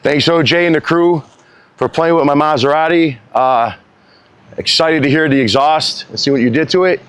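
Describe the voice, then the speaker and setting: A man's voice: on the high side, normal speed, dry recording. A man speaking casually as a customer and car owner, chatting informally. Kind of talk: casual chat to camera. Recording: clearly noisy, with vehicle ambience.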